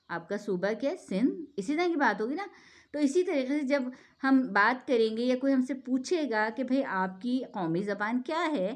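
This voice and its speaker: A woman's voice: a little high, a little mellow. A woman from Pakistan giving an earnest explanatory talk, often asking rhetorical questions and checking in with "theek hai". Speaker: speaker giving a talk on the national language.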